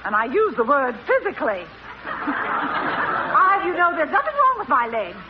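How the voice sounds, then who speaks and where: High-pitched huffy society wife. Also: female voice, wildly swooping pitch, unhurried, exaggerated voice. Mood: huffy, boastful. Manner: indignant, theatrical. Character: haughty, huffy married woman, easily offended. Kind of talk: 1940s radio comedy acting. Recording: noisy muffled old radio broadcast with audience.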